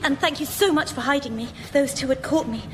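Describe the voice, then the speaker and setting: A woman's voice: high voice, fairly quick, slight background noise, natural voice. A British woman playing a plucky young lady, an earnest adventure heroine in a radio comedy sketch. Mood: earnest, confiding.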